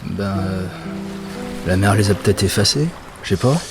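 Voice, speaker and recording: An actor playing a tired, anxious dockworker in a fantasy audio drama, with a hesitant, stammering way of talking. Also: male voice, low voice, moderate pace, music in the background. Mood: flustered, insistent. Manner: hesitant, stammering, muttering to himself. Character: weary, anxious male dockworker in a fantasy port.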